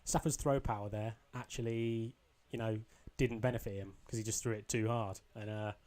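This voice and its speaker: A young man with a slightly croaky voice, casually commentating his own football video game match, rambling with ums and restarts. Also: fairly low pitch, steady tone, moderate pace, darkish tone. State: a bit croaky. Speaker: gaming channel host.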